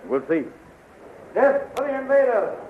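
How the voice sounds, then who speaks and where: An actor playing a bold, spellbound prince commanding an army, in declamatory, grandiose 1930s radio serial style. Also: male voice, extremely high pitch, sing-song, normal speed, phone-quality sound. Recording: thin, noisy 1930s radio recording.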